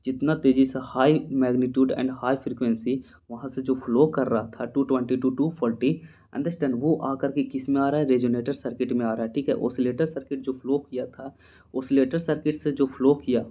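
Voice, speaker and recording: Through thin, phone-like audio, a man with an Indian accent teaches in an informal, step-by-step way. His voice sits at a middling pitch, and he repeats key points, slowing down for English definitions and often checking for understanding. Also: steady tone. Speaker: physiotherapy lecturer. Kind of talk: informal explanatory lecture, point by point.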